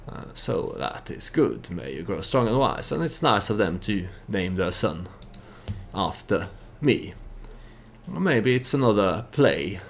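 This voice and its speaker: A man narrates his strategy game playthrough in a low, creaky voice. He speaks very slowly and haltingly, working out the story as he goes in a casual way. Faint noise sits behind the voice. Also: sing-song. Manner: hesitant, halting, thinking aloud.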